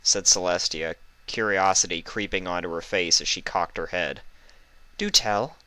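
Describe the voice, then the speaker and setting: A man reading a fan fiction story aloud as expressive scripted narration. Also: sits low, moderate pace, no echo.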